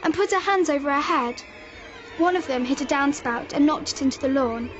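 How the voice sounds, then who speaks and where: A woman's voice: high-pitched, brisk, natural voice. A woman playing a frightened girl recounting an eerie event, reading dramatically from a horror novel over music. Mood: eerie, awed. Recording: dull sound under loud music.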